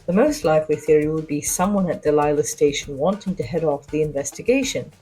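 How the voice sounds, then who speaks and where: A woman playing a witty, self-assured spy and socialite, narrating her own adventure with arch, wry, theatrical pauses. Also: deep voice, dark tone, slightly rough, soft background music. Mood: cool, calculating. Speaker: narrator of an audio drama. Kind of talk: first-person scripted dramatic narration.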